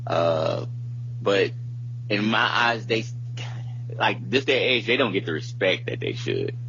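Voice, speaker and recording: A man in his thirties with a deep, low voice talks casually and informally, rambling in slangy phrases like a podcast co-host. The recording is dull and lo-fi, with a faint hum behind him. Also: animated, a little slow, creaky, pushed and bright. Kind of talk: casual chat. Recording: muffled lo-fi audio with background hum.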